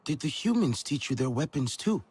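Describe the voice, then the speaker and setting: An actor playing a young, earnest alien clan survivor who is searching and uncertain, in a game cutscene. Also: male voice, a little high, big pitch swings, moderate pace, darkish tone, slightly rough. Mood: earnest, subdued. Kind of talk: dramatic game cutscene acting.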